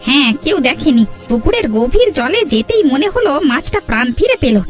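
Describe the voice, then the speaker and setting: Woman acting an eager, high-voiced young helper. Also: big pitch swings, a little fast, faint music behind. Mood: eager, loyal. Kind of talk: Bengali cartoon voice acting.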